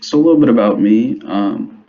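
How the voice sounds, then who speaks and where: A young man who grew up in the United States, giving an informal presentation to parents in a hesitant, halting way, on a dull, muffled recording. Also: mid-range voice. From grew up in the United States, born in El Salvador. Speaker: school substance abuse prevention specialist.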